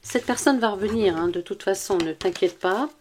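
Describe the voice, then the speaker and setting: A woman giving an informal relationship guidance reading as an intuitive coach, speaking straight to the listener in a halting, repetitive, reassuring way that searches for words. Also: mid-range voice, slight background noise.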